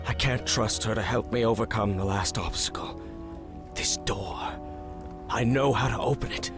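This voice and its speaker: An actor playing a tormented 1930s husband, agitated and halting as he voices his confessional letter in an adventure game. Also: mid-range voice, natural voice. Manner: agitated, halting, self-questioning. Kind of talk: dramatic game voice-over of a letter. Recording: clear music over the voice. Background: game music.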